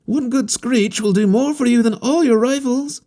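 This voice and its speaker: A man voicing an excitable, boyish young Russian man who talks in rapid, eager bursts with high, falsetto-like cries.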